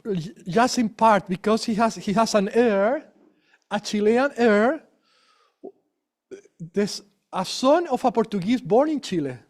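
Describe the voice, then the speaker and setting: A man presents research at an academic conference. He speaks haltingly, restarting his phrases and tagging sentences with little questions to the room. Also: high-pitched, lively intonation. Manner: hesitant, many restarts and tag questions. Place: lecture room.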